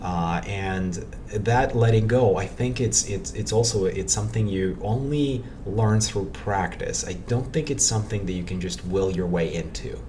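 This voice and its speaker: A man in his forties from Ukraine with a very low voice, speaking as a podcast guest. He talks reflectively, circling back and repeating words as he works out his thoughts. He is picked up from some distance, with steady noise behind him. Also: moderate pace. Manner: reflective, thinks aloud, repeats words while searching for them. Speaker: guest, meditation practitioner. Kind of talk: casual podcast conversation. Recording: distant mic with clear background noise.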